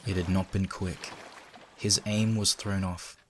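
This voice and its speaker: A man narrating a dark first-person story in a measured, somber, dramatic reading style. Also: low-pitched, flat delivery, a little hiss or hum. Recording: loud engine and ambient sound effects. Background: background sound effects of ambience and machinery.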